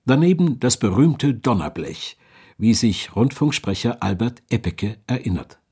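A man's voice: medium pitch, expressive, measured pace, darkish tone, slightly rough. A man narrating a documentary from a script.

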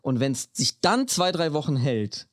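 A man's voice: a little high, very animated, a little slow, no room sound, clean studio sound. A man co-hosting a podcast in casual, colloquial German peppered with English slang. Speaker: podcast co-host. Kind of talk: casual chat between co-hosts.

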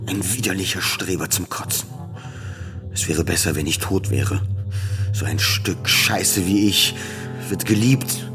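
A man's voice: low-pitched, unhurried. An actor playing a self-doubting, depressed man in an intimate, brooding first-person radio play monologue. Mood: bleak, self-loathing. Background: music.

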